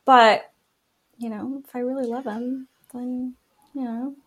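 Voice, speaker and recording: A woman's voice: on the high side, steady tone, measured pace, husky, rough recording. A woman guest in a casual podcast chat, answering in a giggly, hesitant way.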